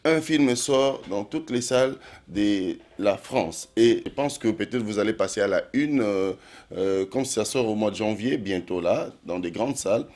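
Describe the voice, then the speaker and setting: A man's voice: on the low side, lively intonation, measured pace, darkish tone. Hesitant male TV host, Lingala asides. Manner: lots of "euh" hesitations, mixes in Lingala. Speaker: TV show host. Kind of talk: TV interview.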